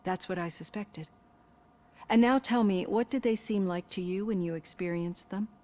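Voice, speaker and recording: An actress playing a composed, analytical psychologist questioning a patient in video game dialogue. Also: female voice, mid-range voice, moderate pace, darkish tone, lightly acted. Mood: reassuring, analytical. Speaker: therapist.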